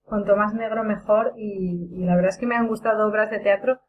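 A woman's voice: mid-range voice, steady tone, fairly quick, narrow, tinny audio. A woman with a Castilian Spanish accent chatting casually in a group discussion. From Spain. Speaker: podcast panelist. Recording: faint hum and background noise.

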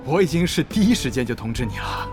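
A man's voice: medium pitch, big pitch swings, fast talker, natural voice. A man playing an earnest, harried guild leader in a Chinese audio drama, polite and often flustered. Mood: concerned. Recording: music behind the voice.

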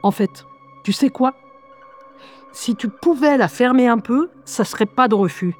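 A woman's voice: on the high side, unhurried, faint music behind. An actress playing a weary, sardonic woman who narrates her diary in a confiding way in a French radio drama. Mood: exasperated. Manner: wry, exasperated, confiding.

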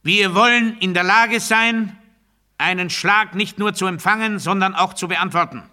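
An actor playing an obedience-obsessed man delivering absurd totalitarian doctrine in a deliberate, declamatory radio play monologue. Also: high-pitched, steady tone, heavily acted. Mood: strident proclamation. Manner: deliberate, declamatory, swinging from hushed confiding to strident proclamation.

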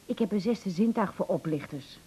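An actress playing a sharp, sceptical wife in a radio play, with a dry and pointed way of questioning. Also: female voice, mid-range voice, lively intonation, moderate pace, breathy edge. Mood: knowing. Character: sharp, sceptical wife who distrusts swindlers.

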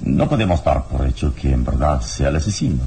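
An actor playing a calm, commanding heroic adventurer in a radio serial, speaking in a measured, formal, authoritative way. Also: male voice, extremely low pitch, soft background music. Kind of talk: radio serial drama acting.

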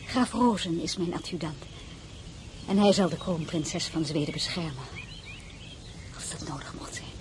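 Actrice als ingetogen, waardige kroonprinses met gedempte stem. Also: female voice, sits low, moderate pace, pauses often, noisy background, lightly acted. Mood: grave, restrained. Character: crown princess, dignified, wistful, wary former marshal's wife. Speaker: lead actress and first-person narrator. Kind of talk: Dutch radio drama acting.

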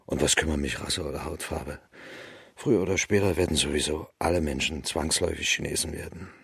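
An actor playing a tough, plain-spoken colonel of the imperial guard in a radio drama, terse and direct, with a rough voice. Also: male voice, extremely low pitch, a little fast, fairly bright tone, no room sound, clean studio sound, full character voice. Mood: direct, curious.

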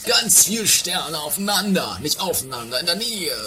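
A man's voice: sits high, sing-song, normal speed, pushed and bright, soft background music, full character voice. A man playing a pompous, fussy husband from an eccentric castle household in a comedy radio play, speaking theatrically. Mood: pondering.

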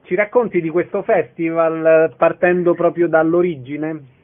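A man's voice: a little high, fairly even intonation, unhurried, narrow, tinny audio. A man conducting an arts interview on the radio as the interviewer.

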